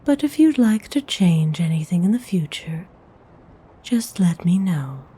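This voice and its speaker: Woman whispering as a slow, breathy vampire noblewoman. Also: fairly low pitch, big pitch swings, dark tone, full character voice. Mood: doting. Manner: slow, measured, formal, intimate. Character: centuries-old female vampire noble, courteous, possessive, gently commanding. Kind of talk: ASMR roleplay, whispered.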